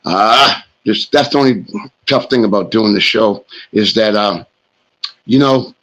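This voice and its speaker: A man's voice: on the low side, a little slow, fairly bright tone, poor audio. A man hosting a sports talk show, telling a story in a loose, rambling, casual way. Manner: loose, rambling anecdote with fillers.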